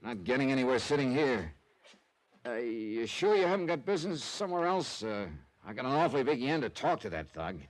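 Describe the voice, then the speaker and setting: An actor in an old-time radio drama playing a hard-boiled, wry American private investigator with clipped, slangy speech. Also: male voice, medium pitch, sing-song, fairly quick, close to an everyday voice. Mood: wry, pressing. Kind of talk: 1940s-50s radio detective acting, narration and dialogue. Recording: old radio recording with music behind.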